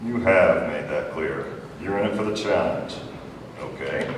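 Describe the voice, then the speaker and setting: A deep-voiced schemer acted by a man coolly baits a rival in a space bar. It is an amateur sci-fi radio play, heard from far off in a very echoey hall. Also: measured pace. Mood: coolly persuasive. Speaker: audience volunteer voice actor. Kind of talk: amateur sci-fi audio drama read-through. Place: large convention hall, live on stage. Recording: echoey noisy convention hall, distant mic.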